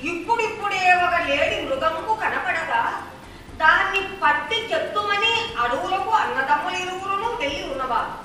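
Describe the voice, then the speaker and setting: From far off in a very echoey space comes a devoted princess acted by a woman, extremely high-pitched. She declaims in formal, drawn-out folk puppet theatre style. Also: lively intonation, pushed and bright, a little hiss or hum, exaggerated voice. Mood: courteous. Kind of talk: declamatory Telugu folk puppet theatre, stylized old diction. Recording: echoey hall, distant mic, music behind.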